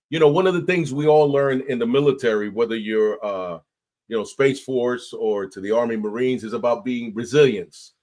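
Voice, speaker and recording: Man, podcast host, halting speech, lots of fillers, casual chat. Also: mid-range voice, a little mellow. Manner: halting, many fillers and restarts.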